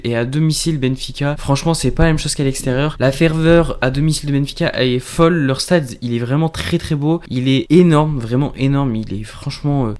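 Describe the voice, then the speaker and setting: A man's voice: on the high side, a little mellow. A man giving a casual football match preview, chatty with many fillers and restarts. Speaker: football vlogger.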